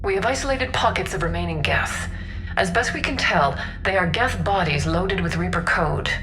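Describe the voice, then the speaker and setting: A woman's voice: lowish voice, animated, moderate pace, over music, close to an everyday voice, poor audio. A woman playing a poised, formal, discreet alien councilor in a video game. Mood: formal, guarded.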